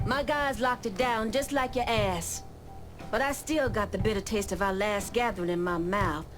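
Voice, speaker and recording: Actress as streetwise female gang boss, hard, cutting, demanding, medium pitch, game drama. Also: moderate pace, over music, lightly acted. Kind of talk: video game cutscene acting.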